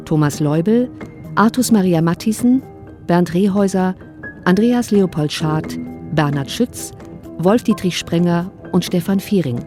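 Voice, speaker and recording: A woman's voice: lowish voice, dark tone. A woman reading scripted broadcast announcements in a matter-of-fact manner. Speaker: credits announcer. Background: faint music.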